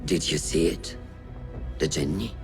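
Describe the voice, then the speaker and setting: An actor playing a grave, commanding assassin mentor with a gravelly voice in a video game. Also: male voice, a bass voice, a little slow, music in the background, exaggerated voice. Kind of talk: video game cutscene acting.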